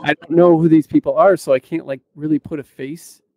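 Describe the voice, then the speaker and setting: Higher-pitched man in dry, casual chat. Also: steady tone, fairly quick, no room sound, phone-quality sound. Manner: informal, conversational. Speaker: podcast co-host, writer. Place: small room at home, indoors. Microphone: close studio mic on boom arm.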